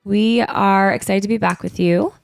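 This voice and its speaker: A woman's voice: medium pitch, steady tone, moderate pace, creaky, clear, resonant tone, no room sound, faint music behind. Stuffy-nosed woman chatting casually. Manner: chatty, rambling storytelling with many fillers. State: stuffy nose. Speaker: podcast co-host. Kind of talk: casual chat. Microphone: studio microphone.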